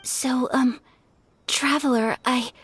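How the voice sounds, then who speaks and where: A woman playing a gentle, refined young noblewoman in a video game, speaking politely and earnestly in a formal, measured way. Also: high voice, fairly even intonation, slightly rough, full character voice. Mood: nervous, shy. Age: young adult. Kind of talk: video game character acting.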